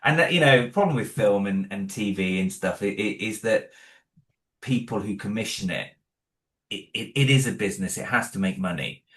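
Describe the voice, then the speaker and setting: Low-voiced, hesitant man. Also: animated, moderate pace, a bit bright. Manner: hesitant, many restarts and self-corrections; modest, self-deprecating. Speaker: audio drama creator, interview guest. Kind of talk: casual interview conversation.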